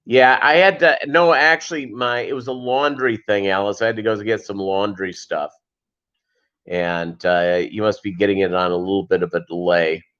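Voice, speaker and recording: A man's voice: fairly low pitch, fairly even intonation, moderate pace, fairly bright tone. Rambling man livestreamer, dull lo-fi sound. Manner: rambling, reads and answers viewer comments aloud. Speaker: livestream host of a fishkeeping channel. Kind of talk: casual live chat with viewers.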